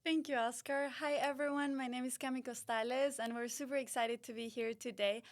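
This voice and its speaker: A woman's voice: high-pitched, fairly even intonation, fairly quick, dry recording. A woman from Ecuador with an Ecuadorian Spanish accent, a UX designer co-hosting a podcast in a friendly, agreeable way. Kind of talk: casual conversational podcast.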